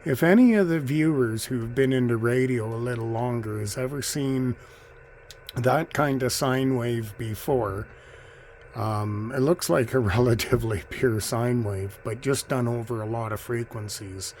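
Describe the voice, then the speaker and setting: A man with a middling-pitched voice narrates casually, like a hobbyist sharing his pastime. He pauses and muses as he goes, with faint radio noise behind him. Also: darkish tone. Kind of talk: casual off-the-cuff commentary. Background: shortwave radio noise.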